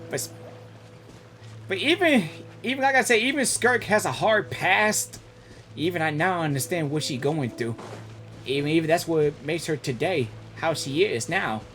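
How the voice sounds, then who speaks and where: A woman giving casual live reaction commentary as a gaming streamer, halting and slangy, often repeating herself. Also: deep voice, lively intonation, a bit bright, faint music behind.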